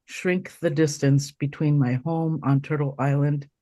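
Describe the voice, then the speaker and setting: A woman from Taiwan, hoarse from a cold, reading her poem slowly and deliberately over a video call. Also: deep voice, little pitch movement, dark tone, no echo. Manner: slow, deliberate recitation with pauses. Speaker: poet reading her work. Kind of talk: poetry reading.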